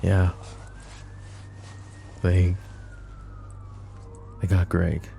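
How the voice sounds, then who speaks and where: A man voicing a possessive, commanding mafia boss in a boyfriend ASMR roleplay, speaking softly and intimately to the listener. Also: sits low, monotone, drawn-out words, vocal fry, a little hiss or hum, full character voice. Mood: protective. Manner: soft, intimate, addresses listener directly.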